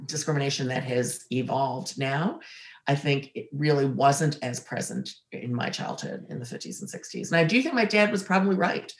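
A woman's voice: very deep voice, sing-song, normal speed. A woman in her sixties, raised in Ohio, recalls her past as an interview guest. She speaks reflectively, circling back with frequent fillers and restarts. Her voice carries a noticeable room echo. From Ohio, USA. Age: 60s. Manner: thoughtful, many fillers and restarts. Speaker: interview guest, former corporate litigator.

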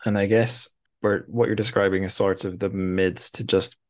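Man, deep voice, podcast host, casual book talk, searching for words. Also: fairly even intonation, moderate pace.

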